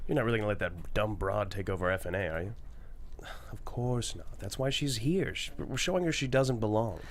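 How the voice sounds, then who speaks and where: An actor playing a crude, boastful, bullying rich mogul, brash and sneering, in a comedy table read. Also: male voice, lowish voice, moderate pace, a little hiss or hum, close to an everyday voice. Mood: goading.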